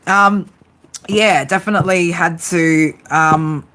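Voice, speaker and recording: Low-voiced, chatty tarot reader woman. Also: fairly even intonation, takes it slowly, fairly bright tone, low-quality recording. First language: English. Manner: casual, chatty, swears freely. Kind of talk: live tarot reading.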